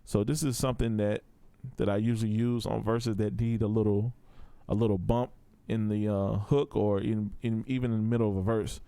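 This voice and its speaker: A man's voice: fairly low pitch, level tone, normal speed, vocal fry, mellow, clean tone, no room sound. A man giving a casual, step-by-step tutorial in a laid-back, informal way. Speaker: audio production tutor.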